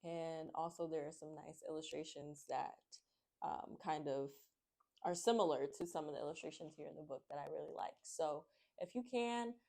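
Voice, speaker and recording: A woman hosting a children's read-aloud, speaking in an expressive, excitable, friendly way to young viewers. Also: deep voice, vocal fry. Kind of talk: storybook narration and friendly chat to young viewers.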